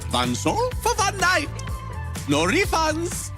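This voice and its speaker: A woman playing a theatrical female manager who enforces contracts in a video game, speaking in a drawn-out, deliberate way. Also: extremely high pitch, wildly swooping pitch, heavily acted. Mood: sly, commanding. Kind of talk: video game character acting. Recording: loud music behind. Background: game music.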